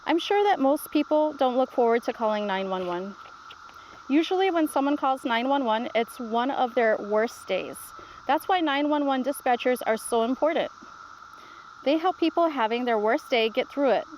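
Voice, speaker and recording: A woman's voice: high-pitched, animated, moderate pace, a little mellow, slight background noise, poor audio. A woman voicing a patient, reassuring grandmother who explains things simply in a children's storybook read-aloud. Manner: reassuring, instructive.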